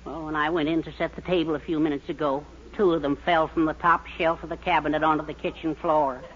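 A fretful, fatherly middle-aged gent played by a man with a fairly high voice. He speaks in 1940s radio sitcom style, on a dull old recording with a little noise. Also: flat delivery, fast talker, close to an everyday voice. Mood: rueful. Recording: muffled, faintly noisy old radio recording.

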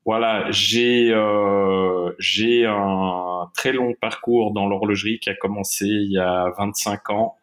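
Swiss guest, middle-aged man, low voice, hesitant with fillers. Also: steady tone, takes it slowly, few pauses, a bit bright. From Switzerland. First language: German. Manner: hesitant, many fillers and restarts. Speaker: watch industry guest. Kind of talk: interview conversation.

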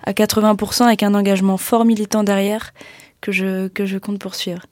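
A woman hosting a casual radio interview, soft-spoken and hesitant with many fillers. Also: mid-range voice, little pitch movement, fairly quick, dry recording, clean studio sound. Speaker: radio host. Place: radio studio.

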